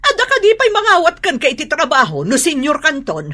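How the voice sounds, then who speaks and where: A woman's voice: sits high, huge pitch swings, brisk, pushed and bright, full character voice. A woman playing an exasperated, nagging mother in a radio drama, with a northern Philippine accent. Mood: scolding, exasperated.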